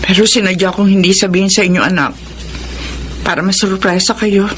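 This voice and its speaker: A woman's voice: mid-range voice, steady tone, a little fast, a bit bright, lightly acted. A woman playing a betrayed, long-suffering wife and mother in an emotional Filipino radio drama. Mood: tender, weary. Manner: emotional, pleading. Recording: noisy radio drama with background ambience.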